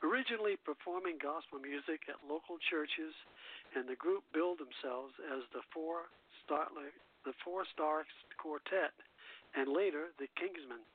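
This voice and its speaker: Male radio presenter, higher register, scripted narration with small stumbles, narrowband telephone-like audio. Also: moderate pace, slightly rough. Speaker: radio show host. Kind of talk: scripted narration of gospel music history. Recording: narrowband, phone-like radio sound.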